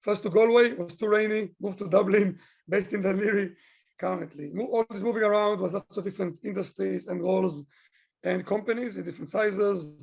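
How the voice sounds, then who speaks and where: Male interviewee from Israel, business consultant, husky with creaky edges, talkative and explanatory. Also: sits high, fairly even intonation, fairly quick, a little mellow. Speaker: business owner, digital consultant being interviewed. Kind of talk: interview answers, conversational.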